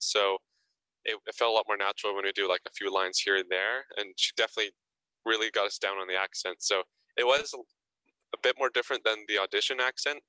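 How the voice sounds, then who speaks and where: A man's voice: on the low side, pushed and bright, no echo, low-quality recording. A young man being interviewed as an actor guest, answering casually and hesitantly, often repeating words and adding fillers.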